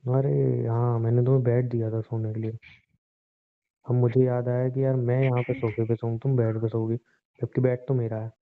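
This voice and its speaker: A man's voice: mid-range voice, little pitch movement, moderate pace, faint music behind, natural voice. A man plays a bitter husband in a domestic argument drama. He is domineering and sarcastic, pushing with taunts and threats. His voice comes through thin and boxed-in, like a recorded phone call. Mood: irritated, scornful.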